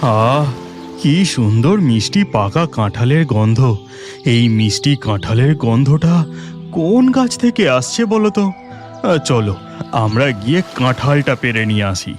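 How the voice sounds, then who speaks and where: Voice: medium pitch, sing-song, measured pace, darkish tone, faint music behind. An actor voicing a hungry, gluttonous, eager god in a Bengali cartoon, pleading for food. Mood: excited, eager.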